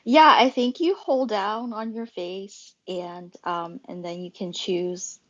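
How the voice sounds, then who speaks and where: A woman hosting a casual live audio chat, talking in a halting, rambling way as she thinks aloud. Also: mid-range voice, fairly even intonation. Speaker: host and moderator of a live social audio room. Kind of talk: casual unscripted group chat. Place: live social audio app call. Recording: dull lo-fi online audio-chat connection.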